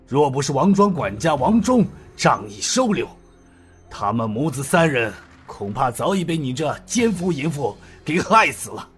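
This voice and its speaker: A stern county magistrate barks orders and sneers from the bench, as a male storyteller voices him. He sits a touch higher than the narrator, and his pitch swings with force. Quiet music runs underneath. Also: very animated, moderate pace. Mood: scornful. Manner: commanding, sneering.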